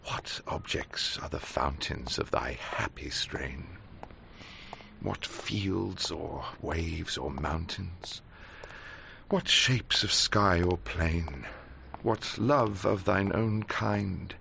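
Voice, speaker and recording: An actor playing a solemn, rapturous reciter of Romantic verse in a radio drama, at times breathy. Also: male voice, deep voice, very slow, bright, forceful tone, slight background noise, heavily acted. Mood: rapt. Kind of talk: slow dramatic poetry recital.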